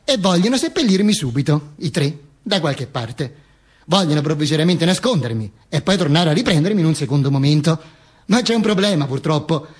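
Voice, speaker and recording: Man, high voice, slightly rough tone, mock-serious stage monologue as an ironic talking corpse, emphatic. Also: animated, brisk. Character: Mussolini's corpse narrating its own misadventures with ironic wit. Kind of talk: theatrical comic monologue.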